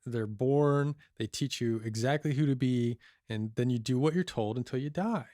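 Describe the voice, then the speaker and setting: A cynical computer hacker played by an actor in a naturalistic audio drama. The delivery is dry, blunt and jaded, at a middling pitch, recorded close with no room sound. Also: moderate pace, mellow, no echo, natural voice.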